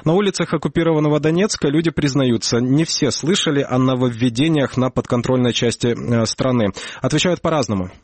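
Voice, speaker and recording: A man narrating a news report in a measured voice, with an occasional hesitation between phrases. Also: medium pitch, a little mellow, slight background noise. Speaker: news correspondent.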